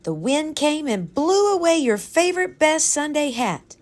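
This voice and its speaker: A woman's voice: high voice, very animated, unhurried, pitched above the performer's own voice. A woman voicing an eager, curious little girl in a children's storybook, with an insistent manner. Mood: excited.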